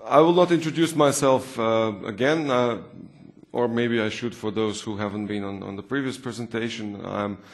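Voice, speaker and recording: A man with a Croatian accent delivers a technical presentation in a medium-pitched voice. He talks informally to his audience. He often asks rhetorical questions and repeats words to stress a point. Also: steady tone, normal speed. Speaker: conference presenter, software consultant and trainer.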